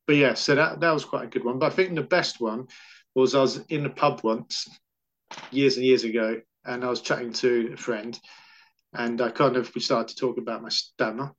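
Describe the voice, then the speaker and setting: A man's voice: mid-range voice, fairly even intonation, moderate pace, a bit bright, dull audio. A man with a stammer, answering questions in a casual interview, repeating syllables and words as he talks.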